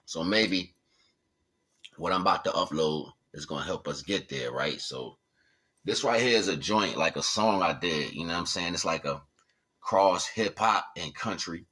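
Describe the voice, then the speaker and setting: A man's voice: deep voice, moderate pace, sharp tone, husky. A man talking casually as a livestream host, in slangy, informal speech, often checking in with his listeners. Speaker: livestream host and hobby musician.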